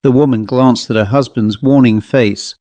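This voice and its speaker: A man narrating an old literary ghost story in a measured, formal storytelling manner. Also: medium pitch, mellow, no echo. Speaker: audiobook narrator.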